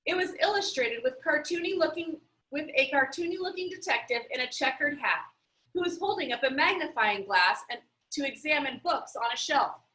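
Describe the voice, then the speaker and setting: A woman reading a children's novel aloud in an expressive storytelling manner. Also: high voice, normal speed. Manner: expressive, acts out dialogue. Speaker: reader. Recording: roomy, echoey sound.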